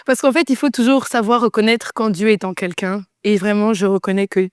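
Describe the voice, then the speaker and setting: A woman's voice: mid-range voice, fairly even intonation, brisk, smooth, dry recording, clean studio sound. A woman hosting a casual podcast interview, upbeat and encouraging. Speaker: podcast host.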